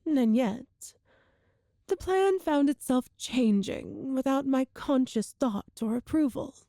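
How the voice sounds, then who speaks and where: Woman playing a slow-speaking, seductive evil empress. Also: sits high, expressive, mellow, no room sound, studio quality, full character voice. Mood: teasing. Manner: measured, formal diction with lingering dramatic pauses. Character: cruel all-powerful evil empress, coolly seductive and smug. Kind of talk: scripted ASMR roleplay monologue.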